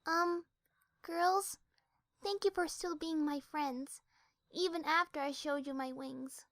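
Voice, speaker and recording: A small, sweet filly played by a woman with a very high, bright voice, recorded close and dry. The manner is gentle and timid, suited to a storybook. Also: steady tone, slow, no echo, heavily acted. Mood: shy, grateful. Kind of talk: fan audiobook character acting.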